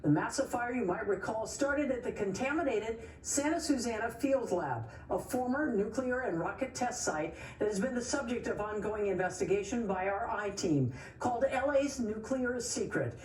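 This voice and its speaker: A woman's voice: fairly high pitch, lively intonation, normal speed, few pauses, a little mellow. A woman anchoring TV news, reading a scripted introduction to an investigative report. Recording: echoey, distant sound with faint noise.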